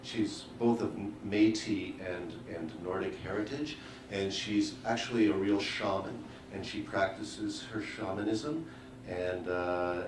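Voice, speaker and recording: A man with a fairly low voice introduces a reader informally, in halting phrases. He sounds away from the microphone in a very roomy venue. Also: a little mellow. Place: live book launch in an indoor venue. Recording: echoey live room, distant mic, faint noise.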